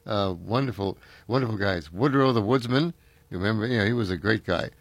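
A man's voice: on the low side, a little fast, no room sound. An older man, hosting a casual chat and reminiscing in a rambling way, full of fillers and restarts.

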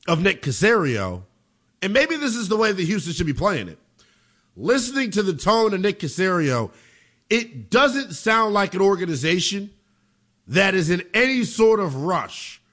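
An American man hosts a sports show with a high voice that swings widely in pitch. He delivers football opinion emphatically, repeating phrases to drive points home. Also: fairly bright tone.

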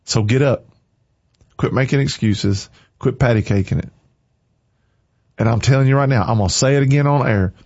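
A man's voice: mid-range voice, moderate pace, gravelly, long pauses, darkish tone, no room sound. Fervent radio preacher man. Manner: fervent, preachy, repeats short commands. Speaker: morning show radio host. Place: radio studio. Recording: dry, slightly muffled studio.

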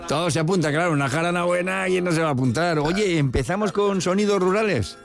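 A man hosting a casual radio show with a lively, playful, upbeat manner. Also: sits high, quick pace, soft background music. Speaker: radio host.

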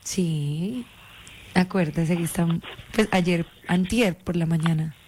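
A woman with a lowish paisa accent talks down a landline phone. she's coy and insistent, teasing the man on the other end to guess who she is and never quite giving herself away. her phrasing is playful and persistent. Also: slow, a little mellow, slight background noise, low-quality recording. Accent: Colombian paisa. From Antioquia, Colombia. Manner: coy, insistent, keeps her identity a mystery. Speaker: caller.

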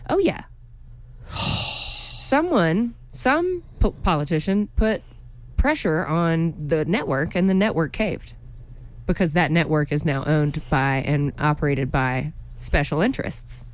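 A woman's voice: sits low, animated, slow pace. A woman co-hosting a local radio show, chatting in a wry, talkative way and teasing her co-host back from a studio. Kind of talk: casual chat on local radio.

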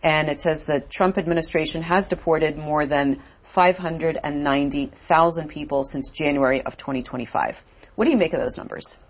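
A woman with a notably low voice hosts the interview, asking her questions haltingly with many fillers. The sound is dull and lo-fi. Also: steady tone. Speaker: interview host. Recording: dull lo-fi audio.

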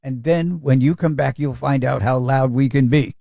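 A man's voice: mid-range voice, normal speed, gravelly, dark tone, dry recording, studio quality. A man hosting a talk radio show and telling stories. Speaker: radio host. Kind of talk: casual talk radio chat.

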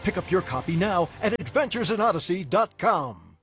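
A man's voice: high-pitched, animated, normal speed, a bit bright. A man announcing a superhero promo in a bold, theatrical style, with music behind him.

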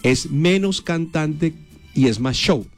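A man's voice: on the high side, animated, slow, soft background music. A Colombian man hosting a morning radio show, teasing his co-hosts and urging listeners to send voice notes while he has a cold. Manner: playful, teasing, keeps calling for listener input. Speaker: radio host. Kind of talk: lively morning radio banter.

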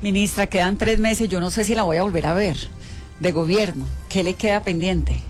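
A woman hosting a radio interview, gushing and enthusiastic as she speaks. Her pitch sits mid-range, and faint music plays behind her voice. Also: moderate pace. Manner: effusive, gushing.

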